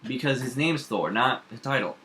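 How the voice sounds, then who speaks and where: A man chatting casually, animated and opinionated, nitpicking in quick bursts. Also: mid-range voice, heavy background noise. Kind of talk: casual chat.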